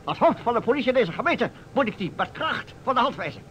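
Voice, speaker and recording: An actor playing a pompous, blustering older small-town mayor, easily indignant, in a Dutch radio drama. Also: male voice, very high voice, sing-song, fast talker, a bit bright, exaggerated voice. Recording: muffled vintage radio recording with noise.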